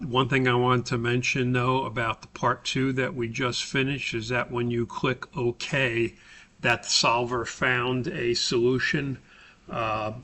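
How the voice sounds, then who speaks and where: An American man with a bright, forceful voice at a middling pitch talks a class through a software task. He sounds like an instructor, informal and methodical. He moves step by step, often pausing partway through a sentence. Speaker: course instructor recording a tutorial for his class. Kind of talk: informal screen-recorded software tutorial, explanatory.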